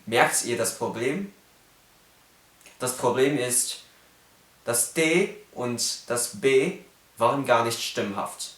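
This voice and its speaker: Slow careful man with a Cantonese accent. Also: medium pitch, a bit bright, reverberant. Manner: slow, careful, demonstrates sounds precisely. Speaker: YouTuber explaining phonetics. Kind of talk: casual educational vlog.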